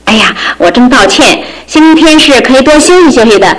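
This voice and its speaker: A woman's voice: fairly high pitch, lively intonation, brisk, close to an everyday voice. An actress playing a courteous, curious young lady visitor in a Taiwanese radio drama. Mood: polite, curious. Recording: noisy old radio drama recording.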